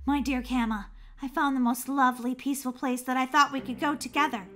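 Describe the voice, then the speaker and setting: A woman voicing a calm, determined young man in a spooky story. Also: high-pitched, soft background music. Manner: calm, quiet, deliberate.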